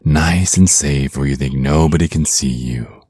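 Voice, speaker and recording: An extremely low-voiced, flirty vampire naga villain, acted by a man, speaks in an intimate ASMR roleplay. He is ancient, possessive and taunting, drawing out his menace at an unhurried pace. The sound is close and dry. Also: mellow, no echo, exaggerated voice. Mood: smug, taunting. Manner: slow teasing menace, pet names, breathy pauses.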